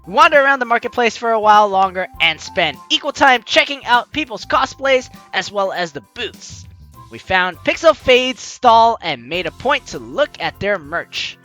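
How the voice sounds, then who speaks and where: An excitable, playful let's play host who stammers and interrupts their own reading of game text. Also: mid-range voice, normal speed, faint music behind. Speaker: gaming video host.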